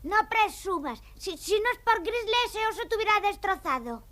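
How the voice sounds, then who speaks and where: A woman voicing an earnest, anxious young child in a dubbed cartoon, with a high childlike voice. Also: very animated, normal speed, sharp tone, exaggerated voice. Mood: scolding. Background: music.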